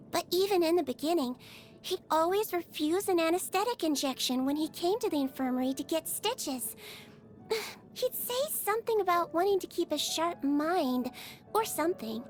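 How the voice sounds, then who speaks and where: Very high, sweet little creature nurse. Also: female voice. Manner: gentle, bubbly, innocently clinical. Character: small, sweet, earnest female otherworldly creature working as a nurse. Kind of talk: video game character voice lines. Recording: music behind the voice.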